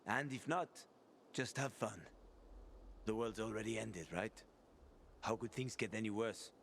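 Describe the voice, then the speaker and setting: A man's voice: lowish voice, a little slow, gravelly, fairly bright tone, slight background noise. An actor playing a weary, friendly young man who talks plainly and a little crudely, over game music. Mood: earnest. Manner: friendly, coaxing, a bit crude. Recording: game soundtrack music behind.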